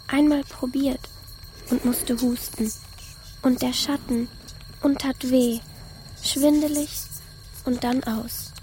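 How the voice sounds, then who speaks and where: A woman's voice: high voice, fairly even intonation, slow, long pauses, mellow, slight background noise. A woman voicing the sullen, haunted ghost of a little girl in a radio drama, in short, childlike, repeated phrases. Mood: haunted, resentful.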